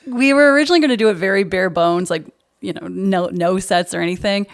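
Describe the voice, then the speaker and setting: A woman talking casually as a podcast guest, a theatre director who tells stories in an amused, animated way. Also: mid-range voice, fast, creaky. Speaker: guest, high school theatre director and producer. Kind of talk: casual podcast interview chat.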